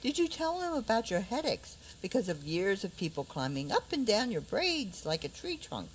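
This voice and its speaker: A woman's voice: mid-range voice, very animated, normal speed, a little hiss or hum, natural voice, low-quality recording. A woman acting a dreamy yet bold fairy-tale princess in a community radio play, with a theatrical delivery. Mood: resolute.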